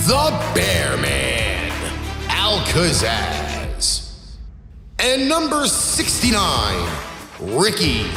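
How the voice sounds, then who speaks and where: A man plays hype announcer for a sports lineup, his pitch swinging wildly as he stretches out each phrase very slowly. His dramatic delivery rings in long reverb. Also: gravelly. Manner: drawn-out dramatic delivery with shouted names. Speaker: show announcer. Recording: roomy hall reverb over loud music. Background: music.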